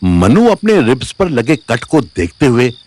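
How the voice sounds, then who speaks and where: A man's voice: medium pitch, big pitch swings, measured pace, a little mellow. A man narrating a dramatic audio story in a scripted storytelling style.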